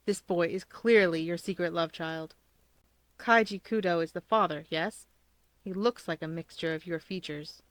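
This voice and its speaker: A woman's voice: lowish voice, normal speed, lightly acted. Lady doing an earnest teen boy with wild theories, matter-of-fact but dramatic, storybook reading, no echo. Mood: certain. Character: earnest teenage boy conspiracy theorist, melodramatic. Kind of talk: audiobook character voice.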